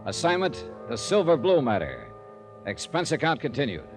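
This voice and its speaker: A man's voice: medium pitch, wildly swooping pitch, moderate pace. An American man acting a hardboiled but sympathetic insurance investigator in a 1950s radio drama, terse and world-weary. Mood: brisk, official. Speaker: lead actor and narrator. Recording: 1950s radio broadcast with music behind.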